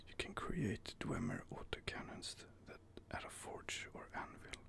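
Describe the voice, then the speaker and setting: A man's voice: mid-range voice, huge pitch swings, normal speed, vocal fry. A man whispering casual gaming ASMR commentary while he reads out game options and muses over them. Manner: soft whispering, casual and musing.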